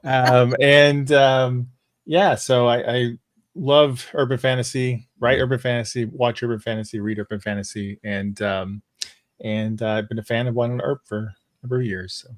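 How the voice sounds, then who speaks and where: A man's voice: medium pitch, fairly even intonation, moderate pace, dry recording. A man speaking casually as a panelist, an author and podcaster. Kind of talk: casual self-introduction.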